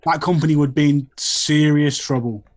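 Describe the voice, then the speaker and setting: A man's voice: a little high, steady tone, normal speed, darkish tone. A man calling into a podcast, nasal and stuffed up from a sinus infection, rambling easily through his thoughts. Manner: easygoing, thinks aloud in rambling sentences. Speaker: caller. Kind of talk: casual chat.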